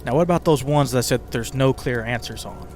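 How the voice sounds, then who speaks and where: Guy doing podcast narration, mid pitch, chatty scripted read, ambient music behind him. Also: a little fast, a little mellow. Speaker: podcast host and writer. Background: ambient music bed with crackling fire sounds.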